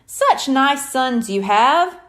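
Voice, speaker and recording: A woman's voice: high-pitched, expressive, slow, fairly bright tone, exaggerated voice. A woman voicing a showy male stage hypnotist in a children's story, grandly polite and commanding. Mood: smooth, showy.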